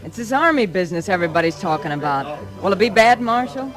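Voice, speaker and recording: A woman's voice: lowish voice, animated, fast, music in the background, lightly acted, poor audio. An American actress playing a friendly, modest, slightly uneasy young saloon girl in a 1950s radio western. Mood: worried. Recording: old 1950s radio broadcast recording.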